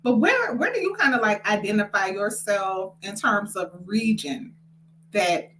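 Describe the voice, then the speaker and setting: A woman's voice: medium pitch, lively intonation, unhurried, fairly bright tone, a little hiss or hum, rough recording. A woman with a Southern American accent hosting a casual family talk show and steering the conversation with a ready laugh. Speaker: host.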